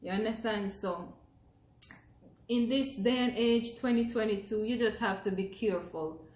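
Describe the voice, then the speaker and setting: A woman's voice: a little high, moderate pace, darkish tone, echoey room. A young Jamaican woman with a Jamaican accent, talking to camera in an earnest, encouraging way that repeats phrases for emphasis. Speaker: vlogger. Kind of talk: casual talk to camera.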